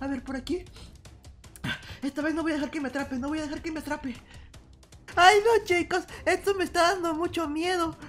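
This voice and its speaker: A woman's voice: very high-pitched, fairly even intonation, a little fast, pauses often, fairly bright tone, soft background music. A woman doing a squealing, jumpy cartoon character. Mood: panicked. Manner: squealing, constantly crying out "ay". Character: jumpy, easily frightened young character. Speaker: channel character addressing viewers. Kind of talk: comic horror skit.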